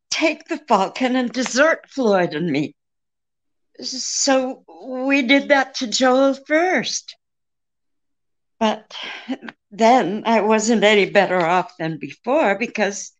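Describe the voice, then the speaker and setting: A woman acting an alluring, weary, deceitful femme fatale in a 1940s-style radio drama, pleading with dramatic pauses. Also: on the high side.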